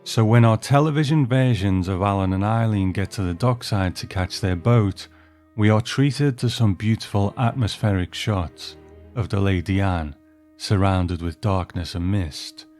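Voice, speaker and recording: A man's voice: fairly low pitch, unhurried, a little mellow, faint music behind. A man hosting and narrating a scripted review with story readings. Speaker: host and narrator.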